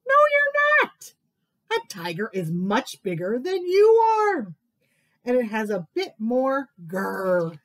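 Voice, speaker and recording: A bossy storybook crocodile, as a woman puts him on, lecturing loudly and emphatically. The voice sits high, leaps about in pitch and takes its time. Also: huge pitch swings, slow pace, no room sound, exaggerated voice. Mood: scolding. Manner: scolding, emphatic. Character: bossy know-it-all crocodile. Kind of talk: picture-book read-aloud voice.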